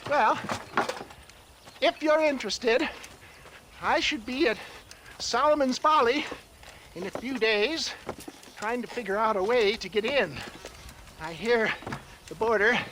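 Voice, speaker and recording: Casting: shifty old tonic peddler, western game. Very high-pitched, pompous, wheedling, cowardly; faint noise behind. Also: male voice, slow pace, pauses often, sharp tone, exaggerated voice. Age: older. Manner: pompous, wheedling, hemming and hawing. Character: shifty, cowardly, theatrical old snake-oil salesman. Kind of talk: dramatic video game cutscene acting.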